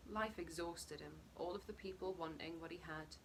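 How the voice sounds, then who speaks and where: A woman narrating a short story expressively, her phrases sometimes dropping to a hush. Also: on the low side, moderate pace, gravelly, a little hiss or hum. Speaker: story reader. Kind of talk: narrated short fiction reading.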